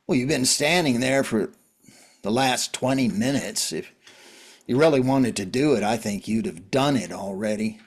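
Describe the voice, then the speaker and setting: A man playing a world-weary, wry survivor in a drama reading, talking in a halting, conversational way. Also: mid-range voice, husky. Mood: calm, probing.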